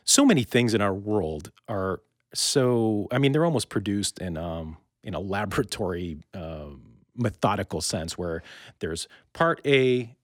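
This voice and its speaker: A man hosting a conversational interview, with halting, reflective and wordy phrasing. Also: on the low side, animated, dry recording, studio quality. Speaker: interview podcast host.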